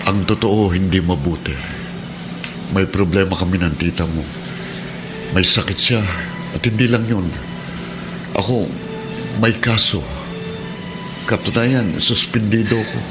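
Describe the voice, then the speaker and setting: A man's voice: deep voice, steady tone, unhurried, pauses often. A man playing a troubled suspended policeman and steadfast husband in a radio drama, grave and earnest. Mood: heavy-hearted. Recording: radio drama over prominent music.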